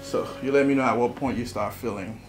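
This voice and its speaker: A middle-aged man working as a chiropractor, chatting casually and giving calm instructions to a patient. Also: medium pitch, quick pace. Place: small treatment room. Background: music.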